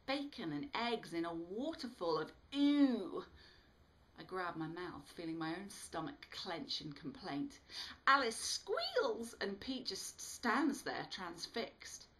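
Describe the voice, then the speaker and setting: A woman author reading her own book aloud with animated, dramatic storytelling delivery. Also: mid-range voice, slow pace. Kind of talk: expressive storytelling read-aloud.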